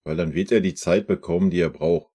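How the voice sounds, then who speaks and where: Deep, mellow male host giving casual reaction commentary, chatty and informal. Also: fairly even intonation, a little fast, husky. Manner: chatty, uses fillers like "ja" and "ne". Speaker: fan channel host reacting to a press conference.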